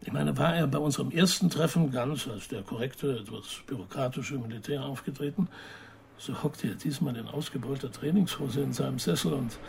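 An actor playing a formal, observant journalist who narrates his interviews in a German radio play in measured, precise sentences. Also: mid-range voice, gravelly, background noise, lightly acted. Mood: reflective narration.

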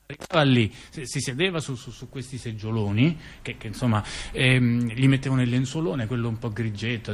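A man's voice: medium pitch, a little mellow, a little hiss or hum. A man telling a long story in a theatrical radio dialogue, chatty and digressive, full of asides and fillers. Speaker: theater performer.

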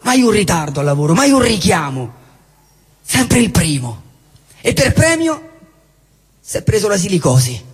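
A man acting a disillusioned, jobless character, blunt yet wistful, in halting stage dialogue with repeated phrases and a husky voice. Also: sits high, sing-song, full character voice. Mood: bitter. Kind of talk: theatrical stage dialogue and monologue. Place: theatre hall, live show. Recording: echoey hall, live stage recording.

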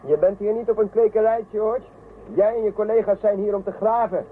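An actor playing an eager, impatient shuttle pilot, bossy but teasing, in a science fiction radio drama. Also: male voice, high-pitched, steady tone, a little fast, close to an everyday voice. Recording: thin phone-like band, clearly noisy.

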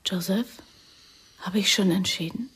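A woman's voice: medium pitch, measured pace, a bit bright, lightly acted. An actress playing a defiant, theatrical young woman recruit in a radio drama, impulsive and vulnerable. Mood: subdued, searching. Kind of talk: German radio drama acting.

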